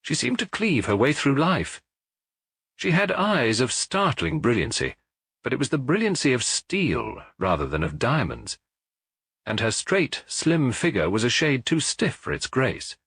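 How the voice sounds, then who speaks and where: A British man reading a detective story as an audiobook, in a measured, precise literary narration. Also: mid-range voice, very animated, a bit bright, slightly rough, no room sound, studio quality.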